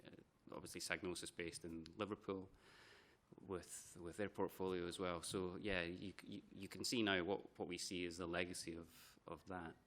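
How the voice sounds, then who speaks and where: Deep-voiced man giving testimony. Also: level tone, normal speed, a bit bright. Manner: hesitant, repeats words while thinking. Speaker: committee witness. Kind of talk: formal testimony. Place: committee hearing room.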